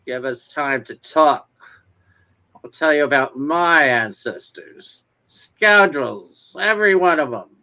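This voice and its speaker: A man acts out a wealthy small-town businessman from a 1950s romance comic. He is genial and sure of himself, with a slow, expansive delivery that swings widely in pitch. The voice is bright and forceful. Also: animated, exaggerated voice, rough recording. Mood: jovial, hearty. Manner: folksy, expansive, declamatory.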